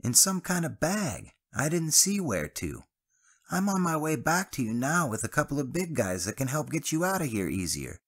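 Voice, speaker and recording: A high, keyed-up young buddy, put on by a male narrator. The voice sits far above the reader's own low register and stays bright and strained. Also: big pitch swings, moderate pace, slightly rough, full character voice. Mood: hurried. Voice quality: high strained voice, much raised. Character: nervous excitable young man, friend.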